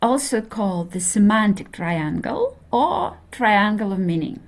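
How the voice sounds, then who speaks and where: Slow, hesitant woman lecturing. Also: mid-range voice, expressive. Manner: hesitant, many fillers, checks in with okay. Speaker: linguistics lecturer. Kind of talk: introductory university lecture.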